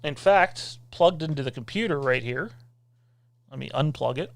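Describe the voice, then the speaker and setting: A man hosting a casual livestream Q&A, rambling loosely, reading out viewer comments and filling pauses with lots of "uh" and "um.". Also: mid-range voice, vocal fry, no room sound. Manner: rambling, reads out viewer comments, many filler sounds. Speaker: podcast host.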